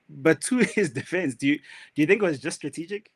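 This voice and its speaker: A man co-hosting a casual podcast chat, playful and thinking out loud as he talks. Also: fairly high pitch, animated, a little fast, husky. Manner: playful, hedging and thinking aloud. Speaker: podcast co-host.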